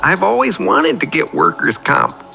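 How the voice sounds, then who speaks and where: Comic radio play: male actor as earnest, self-deprecating caller, rambling and apologetic, lively swooping pitch, slightly high. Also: measured pace, vocal fry, full character voice. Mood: pleading.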